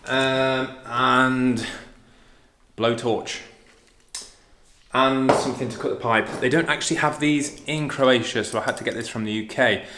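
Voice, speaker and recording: A man's voice: mid-range voice, steady tone, measured pace. A man in his thirties with an English accent, giving a casual, laid-back hands-on DIY plumbing tutorial. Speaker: DIY renovator and plumber demonstrating pipework. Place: indoors, empty room under renovation. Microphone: handheld or propped camera at close range. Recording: echoey bare room, some noise.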